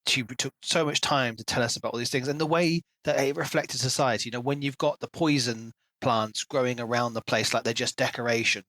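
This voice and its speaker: Guy podcast host talking through a book, analytical, average pitch, really dry close recording. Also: fairly bright tone, dry recording, low-quality recording. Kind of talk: casual book review discussion.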